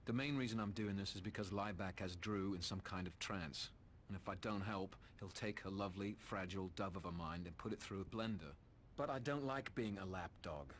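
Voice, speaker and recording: Man playing a low-voiced sardonic English vampire rogue. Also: slight background noise, natural voice. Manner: sardonic, slangy. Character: cynical, cocky British male vampire, roguish reluctant ally. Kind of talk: video game cutscene acting.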